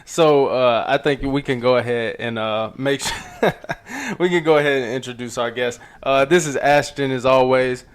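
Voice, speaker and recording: A man's voice: mid-range voice, normal speed, clean tone. A young man co-hosting a podcast, speaking in an easygoing way with frequent hesitations. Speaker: podcast co-host. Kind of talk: casual chat.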